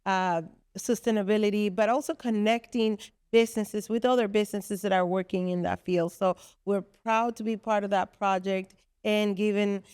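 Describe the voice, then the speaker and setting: Woman, smooth voice. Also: medium pitch, steady tone, normal speed, darkish tone, no echo, clean studio sound. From Dominican Republic. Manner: earnest, explains at length with fillers. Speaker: guest, co-founder of a business space. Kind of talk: podcast interview.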